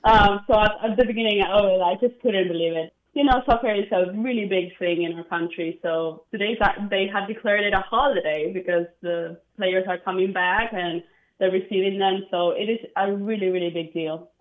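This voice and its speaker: A woman's voice: mid-range voice, normal speed, phone-quality sound. A woman from Argentina, a conductor chatting enthusiastically as an interview guest and laughing often. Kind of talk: broadcast interview.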